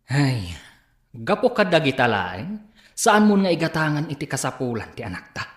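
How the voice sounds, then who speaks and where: A man's voice: a little high, moderate pace, reverberant. A man with a Filipino accent playing an exasperated husband who scolds reproachfully in a comedy radio drama. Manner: reproachful, expressive. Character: exasperated husband scolding his spendthrift wife.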